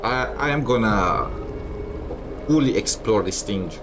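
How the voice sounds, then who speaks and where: A man's voice: fairly low pitch, expressive, measured pace, background noise. A man giving casual gameplay commentary, reading bits aloud, with a dry, sardonic manner. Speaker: game streamer. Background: game music.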